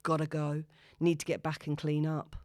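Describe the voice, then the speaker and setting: A woman voicing a smooth, breezy, noncommittal man on a date, with a casual, glib manner, in an audiobook. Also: low-pitched, monotone, normal speed, a little mellow, no room sound, clean studio sound, close to an everyday voice. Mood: breezy, evasive. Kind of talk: audiobook character dialogue.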